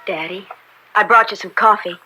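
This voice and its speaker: Actress as a bright, opinionated American college girl. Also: medium pitch, moderate pace, slight background noise, close to an everyday voice, poor audio. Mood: gentle. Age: young adult. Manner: gushing, emphatic. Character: opinionated, earnest college-age daughter of a judge. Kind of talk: old-time radio drama acting.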